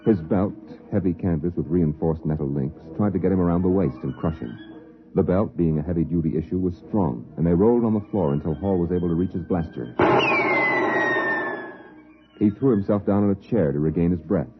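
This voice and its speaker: A man narrating a scripted 1950s radio drama in a formal, deliberate storytelling manner. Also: low voice, lightly acted. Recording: tinny, phone-like old radio, music behind.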